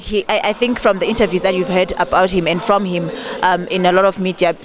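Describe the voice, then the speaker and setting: A Zimbabwean woman gospel singer being interviewed outdoors, speaking earnestly and laughing often. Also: on the low side. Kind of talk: on-the-street interview. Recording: crowd noise behind.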